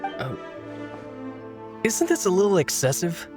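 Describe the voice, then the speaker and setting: A man's voice: high-pitched, huge pitch swings, unhurried, over music, full character voice. An actor playing a loyal, anxious young male spy in a video game, speaking with earnest urgency. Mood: politely probing.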